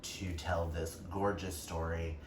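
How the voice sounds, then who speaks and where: A man's voice: deep voice, little pitch movement, slow pace, far from the mic. A man chatting casually to viewers about books in a gushing, emphatic way. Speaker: book reviewer on a vlog. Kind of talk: casual book chat to viewers. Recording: echoey room with faint background noise.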